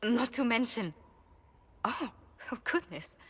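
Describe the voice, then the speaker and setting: Courteous lady diplomat, lively and echoing. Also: a little high, breathy edge, heavily acted. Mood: exasperated gossip. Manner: formal, polite, measured phrasing. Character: courteous, diplomatic woman ambassador, composed and mildly exasperated. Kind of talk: video game character dialogue.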